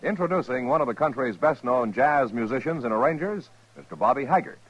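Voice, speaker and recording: Husky, animated male radio announcer. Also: medium pitch, brisk. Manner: emphatic sales delivery. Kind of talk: scripted 1950s radio advertising. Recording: dull old radio recording.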